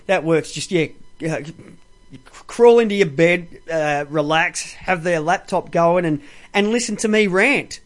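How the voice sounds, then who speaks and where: Male talk host, high voice, chatty and rambling, loose and sweary. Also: a little slow. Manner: talkative, coarse-mouthed. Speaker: show host. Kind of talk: rambling casual live talk show.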